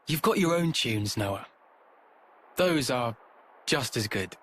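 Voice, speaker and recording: An actor playing a calm, earnest, quietly intense man in a video game, speaking in a measured, reflective way. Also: mid-range voice, a little hiss or hum. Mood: gentle, reflective. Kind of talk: dramatic video game voice acting.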